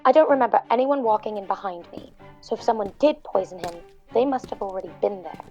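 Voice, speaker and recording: A woman's voice: medium pitch, expressive, quick pace, dark tone, lo-fi sound, soft background music, close to an everyday voice. An actress playing a guarded, self-interested woman with a blunt, dry manner in an audio drama. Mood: wary, musing. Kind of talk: narrative podcast acting.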